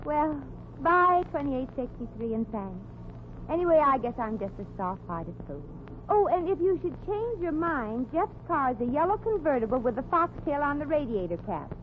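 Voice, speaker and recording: A woman's voice: sits high, big pitch swings, normal speed. Brassy, swooping 1940s radio showgirl. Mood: brisk, determined. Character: brassy, flirtatious, quick-witted showgirl. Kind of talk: 1940s radio comedy acting. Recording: muffled, noisy 1940s radio broadcast recording.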